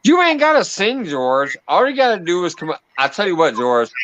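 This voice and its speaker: A man's voice: high-pitched, big pitch swings, normal speed, fairly bright tone, a little hiss or hum. A man hosting a casual livestream, laughing and coaxing guests to join the call. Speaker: livestream host. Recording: dull, lo-fi stream audio.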